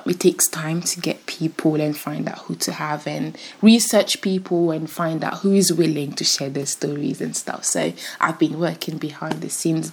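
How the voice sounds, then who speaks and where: Young woman host, solo podcast, low husky voice, chatty and giggly. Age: 20s. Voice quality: deeper, rough voice. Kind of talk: casual solo podcast chat.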